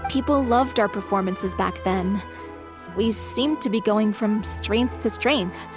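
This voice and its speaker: An actress voicing a sincere, devoted member of a theater troupe, speaking heartfelt lines in a game cutscene. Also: female voice, mid-range voice, slow, lightly acted. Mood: fond. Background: music.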